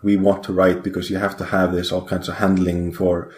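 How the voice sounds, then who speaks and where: Guy, deep voice, roomy echo, rambles with lots of uhs. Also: level tone, fairly quick. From Iceland. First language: Icelandic. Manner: rambling, hesitant with many fillers. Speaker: guest programmer. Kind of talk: casual podcast interview.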